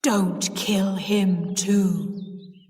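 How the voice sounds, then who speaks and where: A woman voicing a vengeful male ghost in a deep, drawn-out, menacing voice for a story. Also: fairly even intonation, darkish tone, big-room sound, far from the mic. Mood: menacing, accusing. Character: fake ghost of a wronged man, slow, menacing, vengeful.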